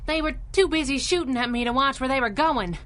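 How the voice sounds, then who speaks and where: A woman's voice: high-pitched, a little fast, a bit bright. A woman playing a plucky, scrappy superhero sidekick in a 1930s-style radio drama, with feisty, slangy talk. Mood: shocked, grave.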